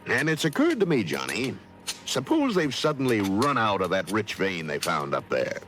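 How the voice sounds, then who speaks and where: A man's voice: lowish voice, wildly swooping pitch, moderate pace, over music. An actor playing an amiable, businesslike insurance man in a 1950s radio drama, conversational and a little hesitant. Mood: concerned, confiding. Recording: noisy vintage radio recording.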